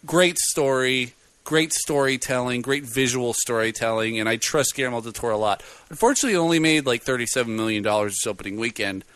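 A man in casual chat, reviewing a movie with a loud voice, rambling and full of fillers. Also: mid-range voice, fast talker, few pauses, smooth, dry recording. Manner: rambling, animated storyteller with many fillers. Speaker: podcast co-host reviewing a film.

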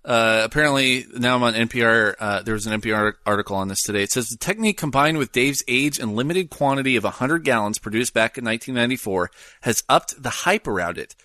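A man's voice: medium pitch, expressive, fast, sharp tone, no echo. A young man hosting a casual podcast chat, curious and full of questions, sometimes reading facts aloud. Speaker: podcast host.

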